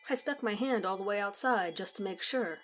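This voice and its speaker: Woman reading a first person story, conversational n measured, medium pitch, some music behind. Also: a bit bright. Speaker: horror story narrator. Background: background music.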